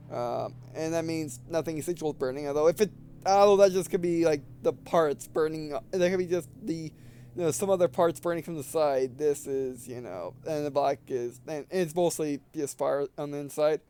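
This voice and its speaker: A man reading game text aloud and commenting casually as he plays, halting and stumbling over words, with some background noise. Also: a little high, warm tone. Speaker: gameplay video host.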